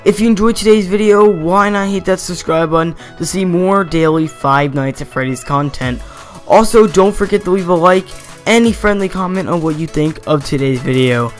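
A man's voice: high-pitched, normal speed. A young man giving a casual, rambling voice-over commentary as a gaming theory host, often correcting himself and chuckling. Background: faint background music.

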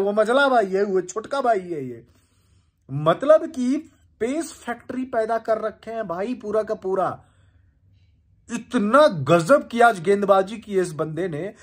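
Young man from India, casual animated reaction rant, raised pitch, close webcam sound in a room. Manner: excited, animated, gestures with hands. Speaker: cricket commentator reacting. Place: indoor room on a leather couch.

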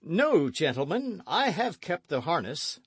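A man's voice: on the high side, sing-song, measured pace, a bit bright, breathy edge, no room sound, voice pushed up, exaggerated voice. A man voicing a boastful, hearty gourmand musketeer with a grand, exclamatory delivery in a storybook reading. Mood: blustering, self-justifying.

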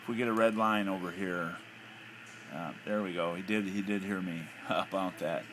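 A man's voice: low voice, steady tone, normal speed. An American man giving a casual, off-the-cuff hobbyist demonstration, thinking aloud hesitantly. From United States. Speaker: amateur radio hobbyist. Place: indoors at a home radio desk. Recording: noisy handheld home recording.

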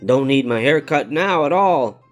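A man's voice: mid-range voice, expressive, measured pace, faint music behind, pitched above the performer's own voice, natural voice. An older man voicing a spirited, protesting little boy in a storybook. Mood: grumbling.

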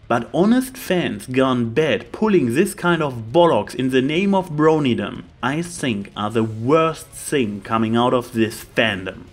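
A man reading scripted opinion commentary as a video host, making his points with emphatic lists. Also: mid-range voice. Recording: music behind the voice. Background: background music.

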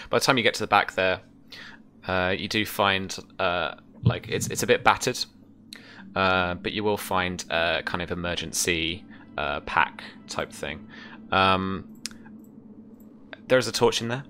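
A man in his thirties narrating a tabletop game as game master, with a hesitant, halting delivery. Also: deep voice, sharp tone, a little hiss or hum. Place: home room, indoors.

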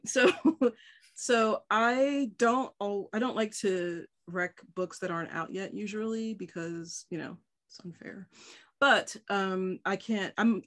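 A woman co-hosting a podcast in casual chat over a webcam, chatty and quick to jump in with side questions. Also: mid-range voice, fairly bright tone. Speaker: podcast co-host. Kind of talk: casual chat on a video call. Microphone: video call webcam.

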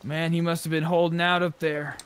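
A man's voice: fairly high pitch, level tone, a little fast, a bit bright, smooth. A man chatting casually with other players during an online zombie survival roleplay game.

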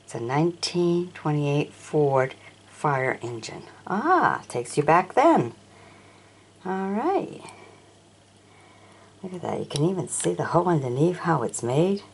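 A low-pitched older woman with a gravelly edge talks slowly and fondly about old toys. Her voice rises and falls a great deal as she admires each one. A faint hiss sits behind her. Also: big pitch swings. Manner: chatty, admiring, asks the viewer questions. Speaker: toy collector showing auction finds. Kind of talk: casual show-and-tell narration. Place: indoors at a sunny windowsill.

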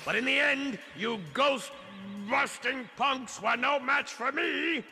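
An actor playing a menacing skeletal robot ghost overlord in a cartoon, snarling and taunting in a grandiose, theatrical way. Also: male voice, extremely high pitch, takes it slowly, sharp tone, full character voice. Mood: gloating menace. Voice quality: gravelly, snarling. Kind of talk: 1980s cartoon villain acting. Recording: long reverb, faint music behind.

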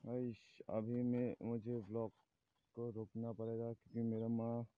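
Halting man. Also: medium pitch, monotone, no echo. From Assam, India. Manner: halting, searches for words; apologetic about his Hindi. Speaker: vlogger. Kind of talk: casual walking vlog narration. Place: outdoors in fields and forest, walking. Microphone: phone camera held while walking, face not shown. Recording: tinny phone-like sound with faint noise.

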